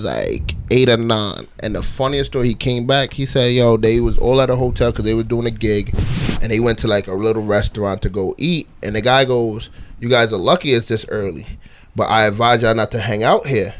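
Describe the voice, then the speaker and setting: A man's voice: lowish voice, fairly quick, a little hiss or hum. Laid-back New man telling stories. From United States. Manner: loose storytelling, drops quieter when telling a story. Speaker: podcast co-host. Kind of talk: casual chat.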